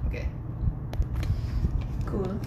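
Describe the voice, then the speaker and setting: A woman's voice: extremely slow, muffled recording, very noisy. A woman, hosting a casual live interview from a room at home on a phone. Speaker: host and interviewer. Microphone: phone at arm's length.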